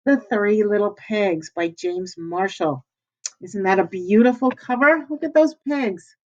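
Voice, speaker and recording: A woman reading a storybook aloud like a teacher, pausing to ask children questions and answer them. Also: medium pitch, darkish tone, muffled recording.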